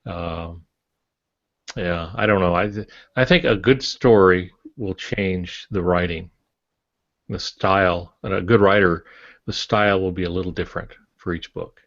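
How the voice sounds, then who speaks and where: An older man co-hosting a podcast, explaining his thoughts reflectively and haltingly in casual discussion. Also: deep voice, steady tone, fairly bright tone, dry recording, lo-fi sound. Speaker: podcast co-host.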